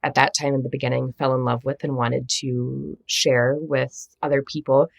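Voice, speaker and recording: A woman's voice: extremely low pitch, little pitch movement, normal speed, creaky, dry recording. A woman, a translator and editor answering interview questions in a reflective, self-deprecating way, laughing often and restarting phrases. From United States.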